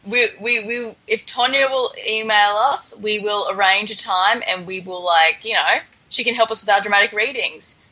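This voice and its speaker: Bright-voiced woman podcast host, playfully sarcastic. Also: medium pitch, normal speed, hardly any pauses, muffled recording. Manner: playful mock-dramatic sarcasm. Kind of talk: casual comedic chat.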